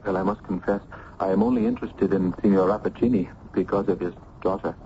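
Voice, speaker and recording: An actor playing an earnest, smitten young student in a radio drama, speaking formally and ardently with florid diction. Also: male voice, sits low, quick pace, gravelly. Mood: polite, candid. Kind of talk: old-time radio drama acting. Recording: dull, noisy old radio recording.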